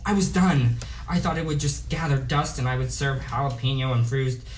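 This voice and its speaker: A woman plays a discouraged young dreamer with a low-pitched voice. The delivery is hesitant, rambling and wry, read for a comedy in an echoey room with faint noise. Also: steady tone, moderate pace. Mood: wistful, anxious. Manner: hesitant, rambling, wryly comic. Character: Japheth, discouraged, anxious, meandering young musical dreamer. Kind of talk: script table read of a musical comedy.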